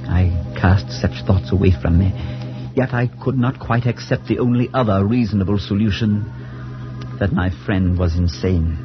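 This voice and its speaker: Man acting a grave, deep-voiced priest, old radio. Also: natural voice. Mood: somber, brooding. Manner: measured, solemn, dramatic. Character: grave, troubled male priest, shaken but reasoning. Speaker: narrator and character. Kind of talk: 1940s radio suspense acting, first-person narration. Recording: dull 1940s radio recording with music.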